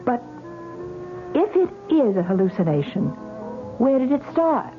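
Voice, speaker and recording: Pleading young wife, tinny radio drama. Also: female voice, sits high, big pitch swings, a little slow. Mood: distressed, pleading. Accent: American. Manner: earnest, stammering, defensive. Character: distraught, pleading young wife insisting she's sane. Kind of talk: vintage radio drama acting. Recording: tinny band-limited old radio recording, music.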